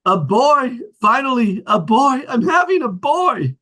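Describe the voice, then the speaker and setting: A man at a script table read playing an affectionate, exuberant father and son in a family drama, with a husky voice. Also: very high voice, big pitch swings, measured pace, pushed and bright, full character voice. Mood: exuberant shouting.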